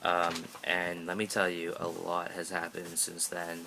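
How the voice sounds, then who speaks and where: A man's voice: low-pitched, monotone, measured pace, vocal fry, bright, forceful tone, slight background noise. A sixteen-year-old young man from Canada giving a rambling, jokey update vlog, filmed on a phone held at arm's length. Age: teens. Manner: rambling, chatty, jokey, many fillers and restarts. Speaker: vlogger.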